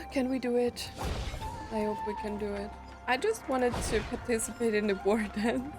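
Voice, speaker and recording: A woman's voice: on the high side, moderate pace. A woman chatting informally and reacting to herself as she plays a video game, sometimes swearing. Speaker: gaming video host. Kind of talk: casual reactions while playing a game. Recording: game music behind the voice. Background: video game soundtrack and character voices.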